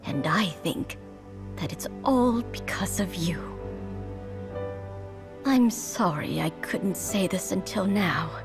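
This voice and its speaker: A slow, tender old woman. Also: fairly low pitch, animated. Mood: heartfelt. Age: older. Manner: slow, gentle and measured. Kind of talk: game cutscene voice acting. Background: game music.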